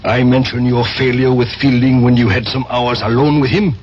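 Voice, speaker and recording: A man's voice: medium pitch, flat delivery, moderate pace, a little mellow, lightly acted. An actor playing a cold, commanding male spymaster with veiled menace in a 1970s radio drama. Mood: cold, menacing. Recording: muffled, noisy old radio drama recording.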